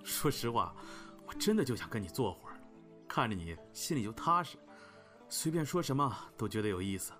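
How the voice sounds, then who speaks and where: A man's voice: mid-range voice, sing-song, a little fast. A man playing a devoted, direct young elder brother in an audio drama, frank and composed. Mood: tender. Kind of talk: Chinese audio drama dialogue. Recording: soft guitar music behind the voice.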